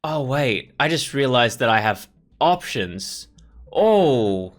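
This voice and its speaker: A man's voice: medium pitch, big pitch swings, slow. A man hosting a let's play, commenting informally and thinking aloud over the choices. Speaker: gaming video host. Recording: voice-over with faint music.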